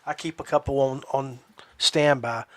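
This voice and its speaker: A man hosting a podcast in a casual, folksy, halting way. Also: mid-range voice, fairly even intonation, a little hiss or hum. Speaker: podcast host.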